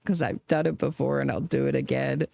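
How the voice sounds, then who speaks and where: A woman hosting casual, chatty true crime commentary on a trial, sardonic and quick to laugh at her own asides. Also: rumbling low, fairly even intonation, gravelly, warm tone.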